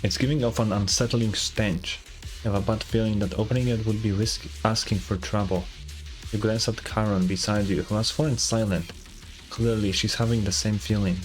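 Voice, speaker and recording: Man, low voice, game streamer, reading narration aloud, casual asides, music behind. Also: steady tone, normal speed.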